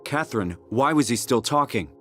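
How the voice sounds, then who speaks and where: A man's voice: mid-range voice, animated, moderate pace, fairly bright tone, close to an everyday voice. A man with a North American accent playing an anxious, doubting everyman in a video game, talking in a questioning, hesitant, emotional way. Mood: alarmed. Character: anxious, doubting everyman lost in a hostile world. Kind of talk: video game voice acting, naturalistic dialogue. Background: music.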